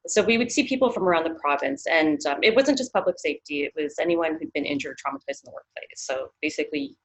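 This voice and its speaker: A woman in her thirties from Canada speaks as an interview guest. Her voice sits low, and she talks in a thoughtful way, circling back with many fillers and restarts. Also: fast talker, rough recording. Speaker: psychologist.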